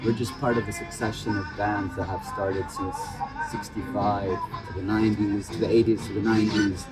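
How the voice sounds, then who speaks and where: A man's voice: fairly low pitch, flat delivery, normal speed, poor audio. A man from Peru, a band member, chatting loosely in an interview outdoors on the steps of a party, with slang and plenty of swearing. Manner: loose, profane, streetwise slang. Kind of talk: casual chat. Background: party music.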